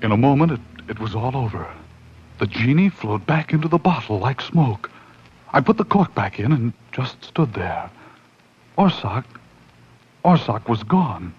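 An actor playing an excitable, uneasy man telling his own horror tale, dramatic and intense, in 1940s radio style. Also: medium pitch, breathy edge, heavily acted. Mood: grim, shaken. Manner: dramatic, intense, with suspenseful pauses. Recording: dull, slightly noisy 1940s radio recording.

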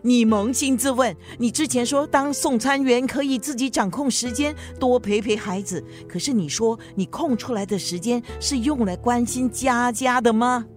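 Sighing, high-voiced grandmother played by a woman. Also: normal speed, few pauses, faint music behind, lightly acted. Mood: reproachful. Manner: sighing, admonishing. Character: chiding, peacemaking elderly grandmother, blunt with her daughter. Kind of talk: Mandarin radio drama acting.

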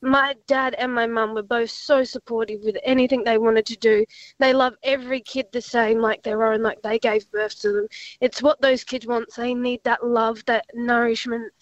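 Young aussie woman on the phone, heartfelt, slightly high voice, muffled line. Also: level tone, moderate pace, dry recording. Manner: sincere, heartfelt. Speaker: caller.

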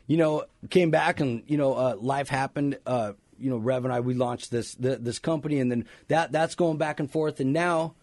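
A man's voice: medium pitch, darkish tone, no room sound. A man guesting with his band on a radio show. His mid-range voice sounds dry and close. He talks with enthusiasm, stumbling and restarting often. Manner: halting, many fillers and restarts, enthusiastic. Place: radio studio.

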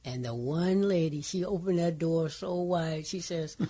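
Older woman with a carrying husky voice. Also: low voice, unhurried. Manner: lively storytelling, bursts into song. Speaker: radio co-host, pastor's wife.

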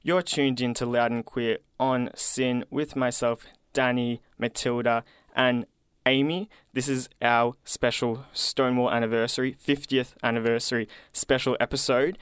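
Upbeat young Australian radio host. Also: male voice, mid-range voice, little pitch movement, a little slow, fairly bright tone, clear, resonant tone, dry recording. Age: 20s. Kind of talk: community radio chat. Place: radio studio.

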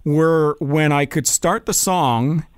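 A man's voice: fairly high pitch, slow, clean tone, no room sound, clean studio sound. A man chatting casually as a show host, often repeating himself as he works through a thought and checking in with the listener. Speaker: show host and former worship leader. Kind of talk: casual conversational interview chat.

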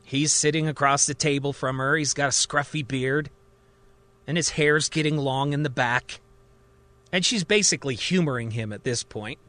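A man's voice: on the high side, moderate pace, sharp tone. A man narrating a dramatic story as a podcast host, in a conversational, emphatic way.

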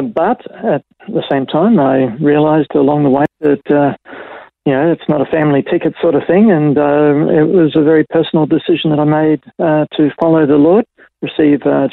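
A middle-aged man with an Australian accent answers interview questions haltingly, with lots of "uh"s. His voice is gravelly and dry. It comes down a thin, tinny phone line. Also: on the high side, fairly even intonation, dry recording. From Brisbane, Australia. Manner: hesitant, many fillers. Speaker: pastor and guest. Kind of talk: casual interview.

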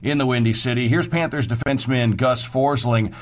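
A male sports radio host recaps hockey news and numbers. His voice sits at an ordinary middle pitch, and he talks in a semi-scripted way. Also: fairly quick.

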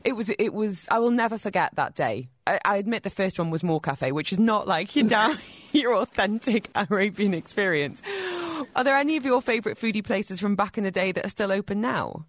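A woman's voice: medium pitch, animated, a little fast, no echo. Conversational woman radio host, dry studio. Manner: friendly, conversational interviewer. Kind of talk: radio talk show hosting.

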